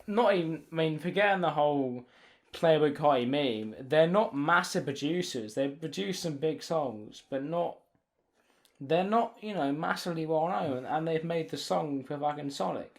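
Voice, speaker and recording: A man's voice: fairly high pitch, normal speed, creaky. A young British man in casual podcast chat, rambling and swearing freely as he talks. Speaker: podcast co-host.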